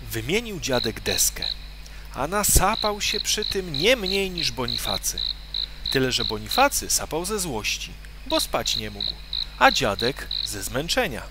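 A man narrating a children's story in an expressive, dramatic way. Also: mid-range voice, unhurried, heavy background noise.

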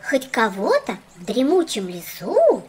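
A woman's voice: a little high, huge pitch swings, unhurried, darkish tone, slight background noise. A woman voicing a fussy, boastful little doll girl in a children's cartoon, theatrical and condescending. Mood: haughty.

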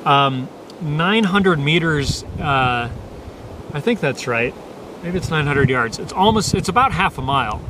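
A man's voice: a little high, animated, unhurried. A man in his thirties giving a casual, unscripted motorcycle gear review in a meandering, hesitant way, outdoors on a camera mic. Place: outdoors in dry grass by parked motorcycles. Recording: noisy outdoor camera recording.

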